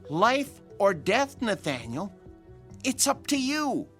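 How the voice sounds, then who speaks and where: An actor playing a stern, concerned doctor in a radio drama, speaking firmly and emphatically. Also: male voice, high-pitched, very animated, slow, over music, heavily acted. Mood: firm, admonishing. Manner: firm, emphatic, admonishing. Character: stern, concerned male doctor lecturing a patient.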